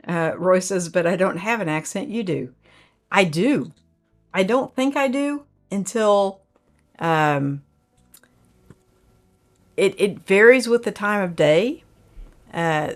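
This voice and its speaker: An older woman, hosting a livestream and chatting casually in a folksy, rambling way as she reads out viewer comments. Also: lowish voice. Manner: folksy, rambling, with frequent fillers. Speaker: livestream host.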